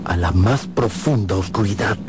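An actor playing a dramatic storyteller, narrating a radio drama in a measured, suspenseful way. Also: male voice, lowish voice, heavy background noise, natural voice. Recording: clear music behind the voice.

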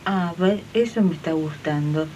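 Older woman played by an actress, Argentine accent, hesitant colloquial domestic worker, mellow voice, radio drama, faint noise. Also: fairly low pitch, moderate pace, dull audio, natural voice. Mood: warming to idea. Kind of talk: amateur radio drama dialogue. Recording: slightly distant, faint background noise.

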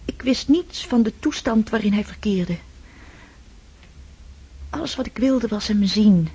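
A woman playing Natasha, a hushed fragile young woman. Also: medium pitch, lively intonation, exaggerated voice. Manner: hushed, breathy, halting. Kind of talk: radio play acting.

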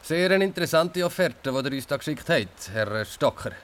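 An actor playing a smug, mock-polite official who quizzes an applicant in a German radio play. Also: male voice, a little high, animated, moderate pace, a bit bright, a little hiss or hum.

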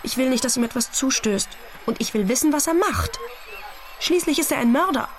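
Worried, high-voiced letter writer. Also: female voice, lively intonation, fairly quick, crowd noise. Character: worried woman reading out her intimate letter. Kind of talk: radio play monologue. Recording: background voices behind the speaker.

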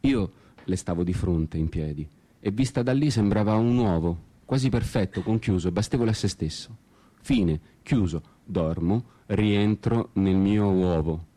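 A man's voice: sits low, unhurried, dark tone. A man performing a dramatic literary monologue as a radio theatre storyteller, with an ironic, brooding, theatrical manner. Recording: guitar music behind the voice. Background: guitar music.

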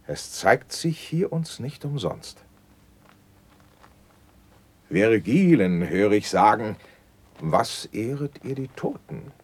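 A gracious noble patron in a classical radio drama. His voice is very low and husky, moving widely in pitch as he declaims verse. The manner is stately and ceremonious. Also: heavily acted. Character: dignified, gracious noble male patron at court.

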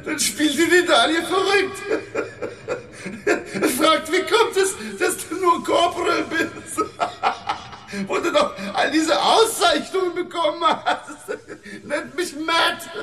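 A man's voice: extremely high pitch, expressive, slow, pushed and bright, far from the mic, heavily acted. An actor in a radio drama playing a manic, hysterical male corporal who cackles as he confesses. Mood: manic glee. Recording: echoey room, off the mic.

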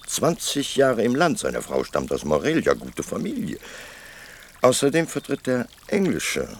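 A man's voice: medium pitch, wildly swooping pitch, measured pace, a little hiss or hum. A man acting in a radio play as a deferential businessman, polite, insinuating and persuasive. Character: deferential, wheedling Mexican businessman pressing a politician.

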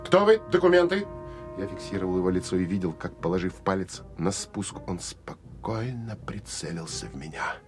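An actor playing a hardened, sardonic counterintelligence officer who tells of an armed pursuit in an intense, expressive first-person audio drama. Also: male voice, low voice, moderate pace, soft background music. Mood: shouted command, then tense, controlled. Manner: intense, expressive storytelling with wry asides.

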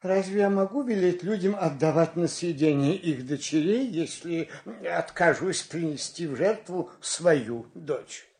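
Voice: medium pitch, animated, unhurried, hardly any pauses, darkish tone, echoey room. An actor playing an anguished king and doting father in a fairy-tale audio play, speaking with emotional, pleading drama. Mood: anguished command.

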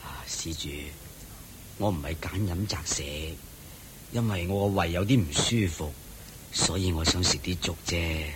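An actor playing a humble monk with an upset stomach, speaking softly and apologetically in a radio play. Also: male voice, deep voice, moderate pace, noisy background, natural voice. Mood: meek. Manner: soft, apologetic, sometimes whispery. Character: meek humble male monk, ailing. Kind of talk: Buddhist radio drama acting.

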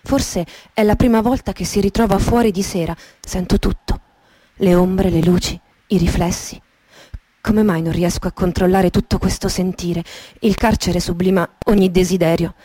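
A woman gives a dramatic literary reading in the manner of a radio theatre monologue, intimate and poetic, with deliberate pauses. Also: on the low side, steady tone, dark tone, husky. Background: music with guitar and sound design.

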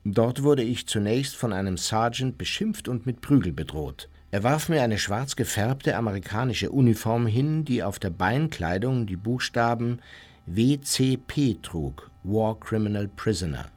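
Husky, matter-of-fact war criminal. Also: male voice, on the low side, animated, a little mellow. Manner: measured, matter-of-fact, formal. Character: unrepentant Nazi war criminal recounting his past, adult man. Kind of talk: radio play first-person memoir narration.

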